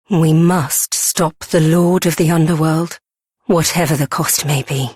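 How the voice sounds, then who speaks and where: A serene goddess from a fantasy video game. Her voice is slightly low and breathy, unfolding slowly with solemn, gentle formality. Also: no echo, clean studio sound. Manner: measured, formal, gentle gravity.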